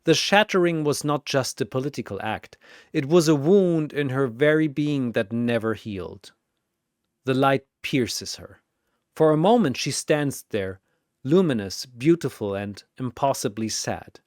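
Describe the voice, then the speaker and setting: Slow, dramatic storytelling narrator. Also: medium pitch, lively intonation, no room sound, studio quality.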